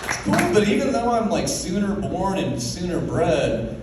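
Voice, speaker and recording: A man's voice: high-pitched, big pitch swings, unhurried, heavy background noise. A young man from Oklahoma doing a stand-up comedy set, with a laid-back delivery full of fillers. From Oklahoma, USA. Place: comedy club stage. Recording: very echoey club room from far off. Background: audience laughter.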